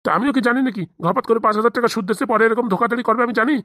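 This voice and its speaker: A man's voice: extremely high pitch, racing, pushed and bright, no echo, studio quality. A man voicing a defensive, whining old father who lends money, in a comedy cartoon. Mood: defensive, grumbling. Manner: whining, defensive, blame-shifting.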